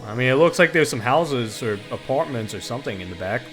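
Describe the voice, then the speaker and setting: Male gamer, mid-to-high pitch, casual offhand commentary with some swearing, game music underneath. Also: animated, a little fast. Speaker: video game streamer and commentator. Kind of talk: casual let's play commentary, reading game dialogue aloud.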